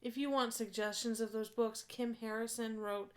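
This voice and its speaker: A woman's voice: on the high side, flat delivery, moderate pace. A woman co-hosting a podcast, chiming in with playful, teasing remarks. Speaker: podcast co-host. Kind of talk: casual conversational podcast.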